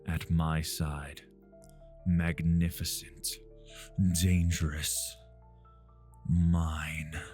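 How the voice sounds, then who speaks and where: A man playing a possessive, commanding immortal vampire in a gothic roleplay ASMR, speaking in a hushed, deliberate, seductive way close to the microphone. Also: deep voice.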